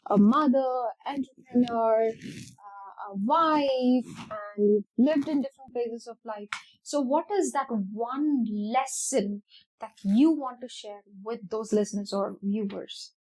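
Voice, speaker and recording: A woman's voice: a little high, slow pace, darkish tone, low-quality recording. A woman hosting a conversational interview show, affirming her guest with short encouraging remarks. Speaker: talk show host.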